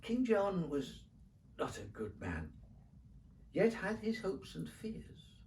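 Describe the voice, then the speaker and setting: A man recites narrative verse for children in a theatrical, rhythmic way. His pitch rises and falls widely. He sounds slightly away from the microphone, with faint noise behind him. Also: slow, far from the mic. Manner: expressive, rhythmic, theatrical phrasing. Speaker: poetry reader.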